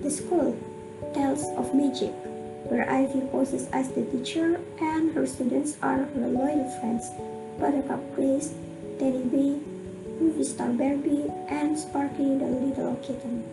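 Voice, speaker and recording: A woman's voice: high-pitched, steady tone, measured pace, poor audio. A woman narrating a children's tale for an amateur radio drama in a scripted storytelling style. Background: guitar music.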